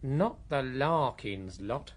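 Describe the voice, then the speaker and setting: Slow, fussy, dour Englishman. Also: medium pitch, expressive. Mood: disapproving. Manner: disapproving, plodding. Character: dour, fussy, practical Edwardian English male cousin. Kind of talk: radio drama acting.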